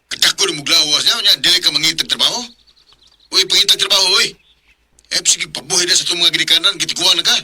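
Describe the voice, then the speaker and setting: An actor playing a blunt, scolding older brother in a Bisaya radio drama, with a Cebuano Filipino accent. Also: male voice, high voice, quick pace, noisy background, lightly acted.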